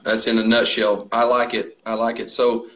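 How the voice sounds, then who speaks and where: A man's voice: medium pitch, level tone, moderate pace, fairly bright tone, low-quality recording. A man in his thirties hosting an interview over a video call, affirming and asking questions. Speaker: host. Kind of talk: casual interview talk. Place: small room indoors. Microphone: video call with earbuds.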